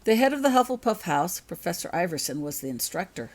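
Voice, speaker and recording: Woman reading her own story out loud, audiobook style, slightly low voice. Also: sing-song, fast talker. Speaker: author reading own fiction.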